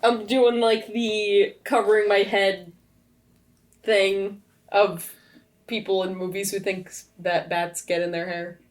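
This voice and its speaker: A woman's voice: mid-range voice, little pitch movement, slow pace. A woman playing a tabletop game, chatting casually and describing her character's actions in a playful, wry way.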